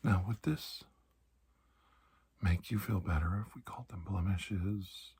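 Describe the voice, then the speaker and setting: A man whispering softly in an ASMR roleplay as a calm, reassuring doctor, speaking in halting, soothing short phrases. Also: low voice, slight background noise. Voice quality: breathy, mostly whispered. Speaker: ASMR performer playing a doctor.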